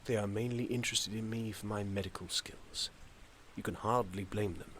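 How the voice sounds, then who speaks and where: An actor playing a wry, measured country doctor of fifty-five in an audio drama. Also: male voice, fairly low pitch, fairly even intonation, a bit bright, slight background noise, lightly acted. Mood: wry, calm. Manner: understated, measured, dry. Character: wry, self-assured 55-year-old male country doctor. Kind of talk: naturalistic stage dialogue.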